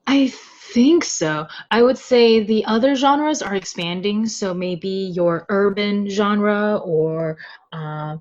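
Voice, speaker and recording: A woman's voice: mid-range voice, takes it slowly, a little mellow. Chatty woman on slightly dull lo-fi audio. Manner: chatty, explanatory, informal. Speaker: podcast guest, web novel translator. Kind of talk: casual interview chat.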